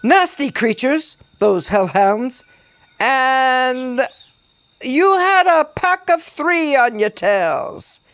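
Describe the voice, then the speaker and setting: A woman's voice: high voice, animated, extremely slow, a bit bright, no room sound, pitched above the performer's own voice, exaggerated voice. A woman voicing a pompous male island castaway who rambles theatrically in an over-the-top British accent.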